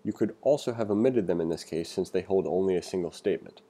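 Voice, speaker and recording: A man's voice: low voice, fast, warm tone, husky. A man working as a programming tutor, reading along from a text and adding casual, opinionated asides in a conversational way. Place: voice-over for a screen recording.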